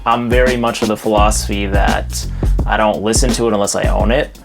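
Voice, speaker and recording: Man, slightly deep voice, casual collector interview, clear background music. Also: fairly even intonation, a little fast. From Los Angeles, California. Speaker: music collector and shop owner being interviewed.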